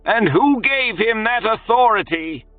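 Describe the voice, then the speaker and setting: A man's voice: high-pitched, lively intonation, unhurried, no echo, phone-quality sound, faint music behind, voice pushed up. A man voicing a haughty older woman who advises a duke and scolds soldiers. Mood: commanding.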